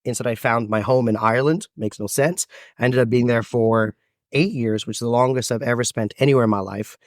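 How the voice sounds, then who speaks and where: A man's voice: mid-range voice, dry recording. Male guest, thirties, American accent, expansive storyteller, close dry mic. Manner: expansive, animated storytelling with dramatic pauses. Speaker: podcast guest, event MC. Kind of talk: storytelling interview answers.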